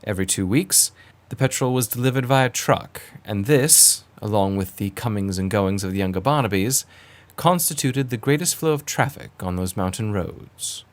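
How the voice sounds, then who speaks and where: Casting: male actor for genteel adventurer-narrator in an audio story, lowish pitch, formal and measured, literary diction. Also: close to an everyday voice. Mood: measured, grave. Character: male first-person narrator, genteel adventurer recounting a kidnapping case. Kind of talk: scripted period-story narration.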